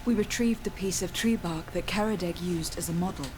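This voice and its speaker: An actress playing a composed, diplomatic noble envoy in a fantasy game, speaking formally and articulately. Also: female voice, lowish voice, fairly quick, background noise, lightly acted. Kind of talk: scripted game dialogue acting.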